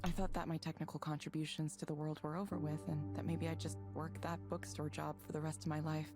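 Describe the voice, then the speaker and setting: Subdued, low-voiced woman in her thirties. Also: flat delivery. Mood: melancholy. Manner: subdued, introspective, halting. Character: Evelyn, a withdrawn, disillusioned woman of thirty-four. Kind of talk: visual novel voice acting, reflective monologue. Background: music.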